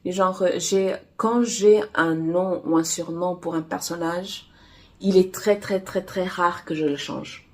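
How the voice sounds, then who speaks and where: A woman whose first language is Portuguese talks casually about her writing. She speaks slowly and unhurriedly, pausing often with fillers and restarting her sentences. Her voice sits a little low for a woman. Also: fairly even intonation, a little mellow. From Angola. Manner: halting, many fillers and restarts. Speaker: romance author and publisher. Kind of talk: casual vlog chat.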